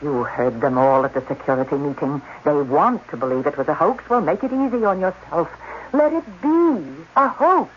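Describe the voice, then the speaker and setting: A man's voice: on the high side, animated, moderate pace, natural voice. A man playing a cunning, impassioned scientist in a radio drama, speaking with intense, persuasive insistence. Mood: persuasive, urgent. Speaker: actor. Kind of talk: 1980s radio drama acting. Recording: dull, noisy old radio recording.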